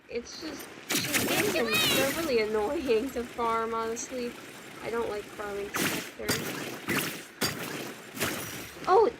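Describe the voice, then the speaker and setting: A woman's voice: a little high, very noisy. A young woman streaming a game, giving casual, slangy, reactive live commentary. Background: game music.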